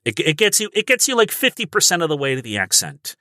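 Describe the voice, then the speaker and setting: A man giving animated, instructive explanations as a guest voice coach in a podcast conversation. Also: fairly high pitch, fast, no echo, clean studio sound.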